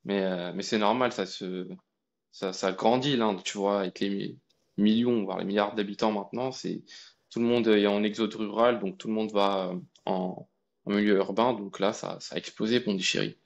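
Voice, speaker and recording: A young man from India, a guest telling his story in a relaxed, colloquial way with many fillers and restarts. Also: fairly low pitch, normal speed, gravelly, dull audio. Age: young adult. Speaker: guest, AI researcher. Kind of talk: casual conversation.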